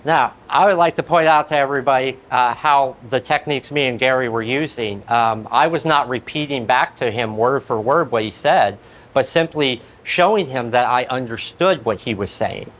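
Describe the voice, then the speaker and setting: A man co-leading a training demonstration, explaining in measured, articulate, long sentences in a conversational way. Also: medium pitch, fairly bright tone. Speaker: outreach program manager, co-trainer.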